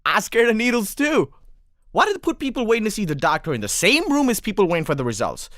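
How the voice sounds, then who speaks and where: Earnest young charmer, close and dry. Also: male voice, sits high, wildly swooping pitch, fast talker, a bit bright, no echo, exaggerated voice. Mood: nervous. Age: young adult.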